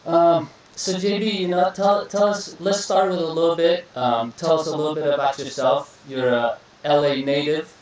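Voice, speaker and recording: A man's voice: on the high side, moderate pace, few pauses, distant mic. A young man from Iraq talks easily as a podcast host, looping back on his words with a laid-back, friendly flow. He sits a little away from the mic. The room rings with strong echo. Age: young adult. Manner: relaxed, repeats words, says "bro" and "beautiful" often. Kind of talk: casual interview chat. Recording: echoey room, voice a bit off-mic.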